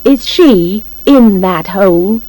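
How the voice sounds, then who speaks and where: A woman's voice: medium pitch, expressive, slow pace, mellow, no room sound. A woman voicing a timid, henpecked, nosy village husband in a storybook, plaintive and exclaiming. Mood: curious. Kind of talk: dramatised storybook reading.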